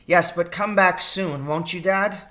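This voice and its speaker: A man reading a quiet, polite young boy in an audiobook. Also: high-pitched, unhurried, voice pushed up.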